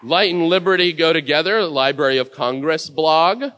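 A man's voice: fairly high pitch, normal speed, fairly bright tone, a little hiss or hum. A man hosting a live awards show from a mic in a big room, offhand, joking and swearing between nominees.

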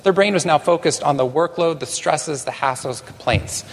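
Animated Texan man speaking in a reverberant hall. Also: fairly high pitch, moderate pace. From Texas. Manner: animated, self-deprecating humor with a few restarts. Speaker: conference speaker. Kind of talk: keynote talk to a live audience. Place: large conference hall.

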